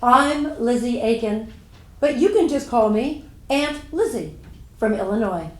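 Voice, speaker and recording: Woman acting modest Civil War nurse, kindly, homely, higher-pitched, echoing room, historical monologue. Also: animated, unhurried, noisy background. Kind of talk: first-person historical monologue, staged dramatic reading. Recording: echoey room.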